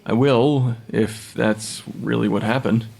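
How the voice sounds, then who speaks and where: A man's voice: mid-range voice, fairly even intonation, takes it slowly, creaky, a little mellow. A man playing a clever, slightly awkward forensic pathologist with an analytical manner, acted naturally for an audio drama. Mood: probing, skeptical. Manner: analytical, hesitant when cornered. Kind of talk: naturalistic audio fiction podcast acting.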